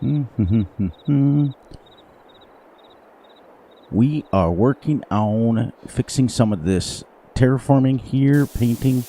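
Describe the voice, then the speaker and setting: A man streaming a farming game live, chatting casually with viewers, his voice a little hoarse. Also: medium pitch, extremely slow, pauses often, warm tone. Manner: rambling, playful, sing-song repetitions. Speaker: gaming livestreamer. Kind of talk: casual live gameplay commentary.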